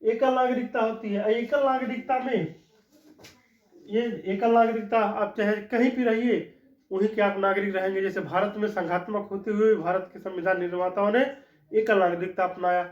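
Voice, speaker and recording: A man's voice: high voice, steady tone, normal speed. A man teaching a law lesson in a classroom style, explaining step by step and repeating his key points. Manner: explanatory, repeats key points, frequent "ठीक" check-ins. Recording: echoey room, dull lo-fi sound.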